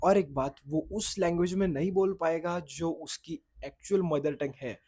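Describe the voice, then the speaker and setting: A man's voice: a little high, moderate pace, warm tone. A young man whose first language is Marathi, talking casually to camera in a vlog, rambling and correcting himself as he goes. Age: young adult. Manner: rambling, loses his thread, self-corrects. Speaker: content creator. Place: outdoors in the sun, at a boys' hostel.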